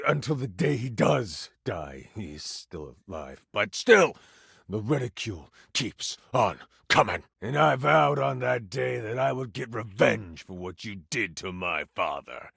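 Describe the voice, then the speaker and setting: A man voicing a vengeful, theatrical tiger-man villain in a video game, raspy and grandiose. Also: fairly low pitch, big pitch swings, unhurried, no room sound, studio quality, pitched below the performer's own voice, heavily acted. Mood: outraged. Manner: grandiose dramatic monologue, swinging from menacing hush to outraged outbursts. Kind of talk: video game character acting.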